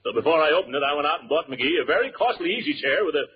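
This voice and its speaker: An actor playing a pompous, easily offended neighbour, flustered and blustering in 1940s radio comedy style. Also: male voice, on the high side, expressive, rapid-fire, close to an everyday voice. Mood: aggrieved. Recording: muffled 1940s radio broadcast, static hiss.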